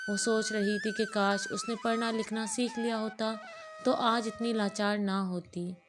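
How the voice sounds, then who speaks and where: A woman storyteller reading a scripted moral story as narration. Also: medium pitch. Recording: music playing behind the voice. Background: background music.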